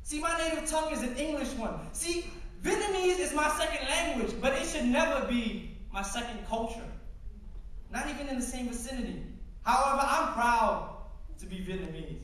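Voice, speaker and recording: A man's voice: extremely high pitch, expressive, normal speed, a bit bright. A young man performing spoken word poetry on stage at a campus event, with a rhythmic, rhyming delivery, punchy wordplay and casual joking asides to the crowd. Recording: echoey hall, distant mic, background noise. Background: audience ambience and laughter.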